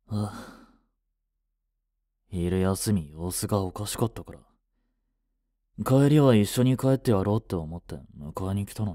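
A man playing a brusque teenage schoolboy, a gruffly caring childhood friend with blunt, casual speech, in a romantic voice drama. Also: fairly low pitch, dark tone, no room sound, clean studio sound. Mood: grumbling. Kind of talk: Japanese romantic situation voice drama.

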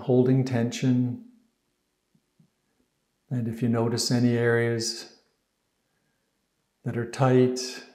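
A man teaches guided meditation with a dark, relaxed voice. His delivery is very slow and soothing, with little rise or fall in pitch and gentle pauses between phrases. The sound is echoey.

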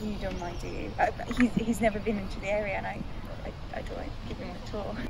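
A woman playing a nervous, self-conscious young woman in a naturalistic audio drama, halting and repeating herself as she rambles. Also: medium pitch, fairly even intonation, creaky, lightly acted. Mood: awkward, halting. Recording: noisy outdoor ambience throughout.

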